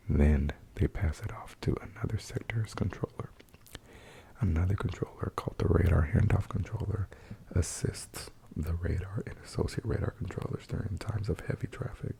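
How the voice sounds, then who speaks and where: A man whispering and speaking softly as he reads aloud for ASMR, hushed and gentle, with a breathy sound. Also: sits low. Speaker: ASMR host. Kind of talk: ASMR, whispered and soft-spoken article reading.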